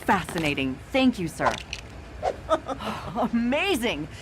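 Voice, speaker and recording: A woman's voice: mid-range voice, sing-song, takes it slowly, background noise. A woman playing an eager, earnest and inquisitive young news reporter in a video game. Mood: keen curiosity. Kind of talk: video game cutscene acting.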